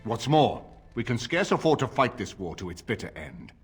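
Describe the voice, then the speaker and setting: An actor playing a grave, authoritative middle-aged lord in a video game, speaking in a formal, measured way with a husky voice. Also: male voice, mid-range voice, very animated, soft background music. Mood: stern, resolute. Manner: formal, measured, stately delivery. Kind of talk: dramatic video game voice acting.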